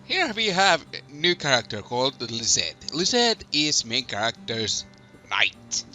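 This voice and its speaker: A man hosting a casual gaming video, talking in a halting, hesitant way. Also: medium pitch, very animated, pushed and bright, faint music behind. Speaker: host and narrator of a fandub let's play. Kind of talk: casual gaming commentary with read narration.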